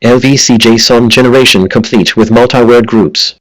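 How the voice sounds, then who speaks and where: A man's voice: medium pitch, steady tone, normal speed, no room sound, low-quality recording. A synthetic male voice reading out automated status announcements as a computer assistant.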